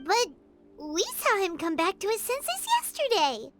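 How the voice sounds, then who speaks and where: Game sidekick: bubbly little fairy, extremely high and swooping. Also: female voice, big pitch swings, unhurried, full character voice. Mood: chirpy. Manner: bubbly, talks of herself in third person. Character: tiny chirpy female fairy companion. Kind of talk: video game voice acting. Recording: game audio with loud music.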